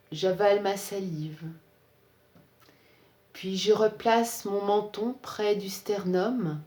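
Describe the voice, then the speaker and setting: Female meditation guide, soft-edged mellow tone, calm measured phrasing, recorded with noticeable room echo. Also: mid-range voice. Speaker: yoga nidra instructor. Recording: roomy, echoing sound.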